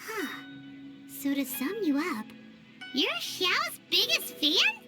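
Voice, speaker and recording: A woman's voice: extremely high pitch, huge pitch swings, slow, exaggerated voice. A woman voicing a tiny, sassy, childlike sidekick creature in an anime-style game, with a cutesy, exaggerated delivery. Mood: puzzled, chatty. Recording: prominent background music.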